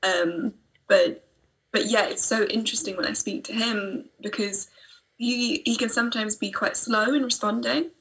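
A woman's voice: on the high side, steady tone, normal speed, gravelly, fairly bright tone, low-quality recording. A woman guest on a podcast, talking in a reflective, casual way.

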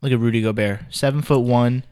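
A man's voice: mid-range voice, fairly even intonation, darkish tone, clean tone, no room sound. A young man co-hosting a casual podcast, trading teasing banter and drawing out emphatic points. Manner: teasing, dry banter, drawn-out emphatic delivery. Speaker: podcast co-host. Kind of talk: casual chat.

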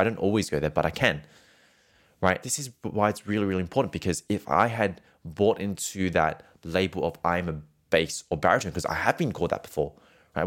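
A singing teacher speaks to camera in a very low, rich voice. He talks earnestly and circles back on his points, often tagging them with "right?" to keep the listener with him. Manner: earnest, repetitive, keeps adding "right?". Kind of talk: casual coaching talk to camera.

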